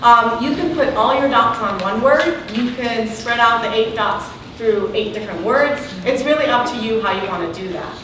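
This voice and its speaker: A woman with a loud voice, patiently explaining the steps of a public meeting activity. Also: on the high side, lively intonation, normal speed, hardly any pauses, background noise. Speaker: meeting facilitator. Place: large meeting room. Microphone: far room recording. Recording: echoey room from far off.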